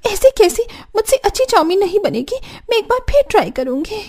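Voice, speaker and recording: Woman voicing a high-pitched jealous young daughter-in-law. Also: big pitch swings, fairly quick, a little mellow, full character voice. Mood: determined. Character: jealous, stubborn young elder daughter-in-law, boastful and easily flustered. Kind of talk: Hindi cartoon story dialogue.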